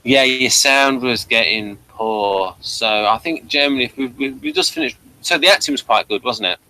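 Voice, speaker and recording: A man hosting a review show, chatting casually in a hesitant, halting way and often repeating words. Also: mid-range voice, very animated, bright, forceful tone, low-quality recording. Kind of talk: casual audio drama review chat.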